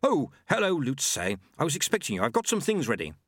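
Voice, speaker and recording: A man acts out an excitable old monk who invents things, in a storybook reading. The voice is pitched high and swings about eagerly as he proudly demonstrates. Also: a little fast, breathy edge, dry recording, studio quality, heavily acted. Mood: excited.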